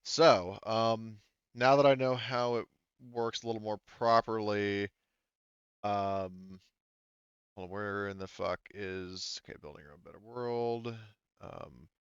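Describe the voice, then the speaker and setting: A man's voice: lowish voice, steady tone, slow, clear, resonant tone, dry recording, muffled recording. A man running a tabletop game session as game master, talking casually in a rambling, hesitant way. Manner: rambling, hesitant, often repeats "you know" and "like".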